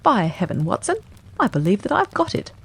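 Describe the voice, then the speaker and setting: A woman voicing a brisk, confident male detective in an audiobook reading, quick and emphatic. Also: mid-range voice, very animated, gravelly, darkish tone, breathy edge, heavily acted. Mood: eager.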